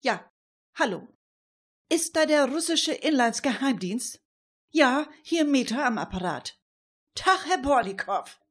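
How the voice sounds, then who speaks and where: A woman's voice: sits high, sing-song, measured pace, long pauses, husky, full character voice. A woman playing a fretful but resourceful amateur detective in a radio play, chatty and full of exclamations. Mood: earnest, fretful.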